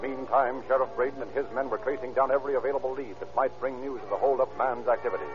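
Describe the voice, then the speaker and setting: A man's voice: medium pitch, fairly even intonation, fast, natural voice. A man as an authoritative narrator of a 1930s radio crime drama. His delivery is formal and clipped, over prominent music, on a dull vintage recording. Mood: grave, urgent.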